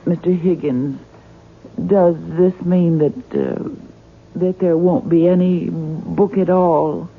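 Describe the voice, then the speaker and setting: An actress playing a gentle, bereaved woman, wistful and hesitant, in 1970s radio drama style. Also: on the low side, steady tone, vocal fry, breathy edge, heavily acted. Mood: hesitant, hopeful. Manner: hesitant, halting, polite. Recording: 1970s radio broadcast, narrow phone-like band.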